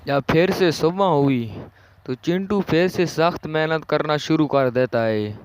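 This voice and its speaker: A man's voice: fairly high pitch, a little slow, clean tone, no echo. A man narrating a scripted cartoon story as the storyteller.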